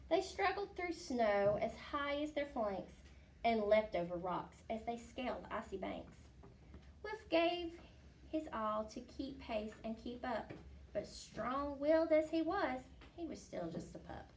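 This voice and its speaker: Brief: female storybook reader, unhurried expressive rhyme, recorded slightly off-mic with faint noise. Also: a little high, far from the mic. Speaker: picture book reader. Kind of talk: expressive read-aloud of a rhyming children's story.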